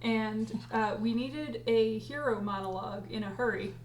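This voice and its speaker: A woman's voice: fairly high pitch, normal speed. A woman chatting casually on a group livestream as a cast member. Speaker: audio drama writer and lead voice actor. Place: indoors on a group livestream call. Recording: echoey, distant mic with hum and noise.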